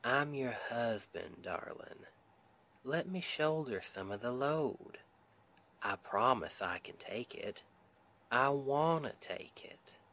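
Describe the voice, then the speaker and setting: A man playing a tender, reassuring Southern husband in an intimate ASMR roleplay, with a Southern US accent and a soothing, gentle, often half-whispered delivery. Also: medium pitch, vocal fry, heavily acted. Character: tender, reassuring Southern husband comforting his stressed wife.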